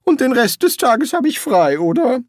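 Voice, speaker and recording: A narrator putting on a jumpy male wizard in an audiobook, his voice pushed far up and strained, stumbling on ums as he bluffs and wriggles. The delivery is slow and swings widely in pitch, with a close, dry sound. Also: sing-song, no echo. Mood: sarcastic. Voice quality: high, strained, hesitant with many ums. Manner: sarcastic, evasive, pleading. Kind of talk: audiobook reading.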